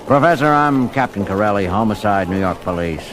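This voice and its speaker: An actor playing a businesslike homicide captain in a seventies audio drama, matter-of-fact and plain-spoken. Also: male voice, on the low side, lively intonation, a little fast, background noise, close to an everyday voice. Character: businesslike male New York police homicide captain. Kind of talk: 1970s superhero record audio drama acting.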